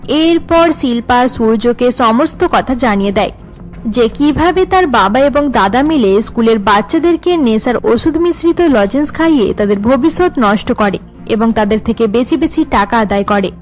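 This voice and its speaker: A woman's voice: on the high side, animated, normal speed, soft background music, poor audio. A woman narrator reading scripted story narration for a cartoon drama.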